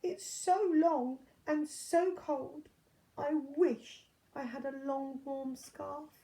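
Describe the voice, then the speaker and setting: A woman's voice: high voice, fairly even intonation, slow, warm tone, voice pushed up, poor audio. A woman voicing a forlorn giraffe in a storybook, plaintive and drawn out. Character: forlorn giraffe with a long cold neck.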